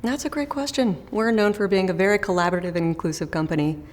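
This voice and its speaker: A woman's voice: medium pitch, fast, in a large hall. A woman playing a recruiter in a scripted phone interview, with a friendly, professional manner. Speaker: recruiter in role-play.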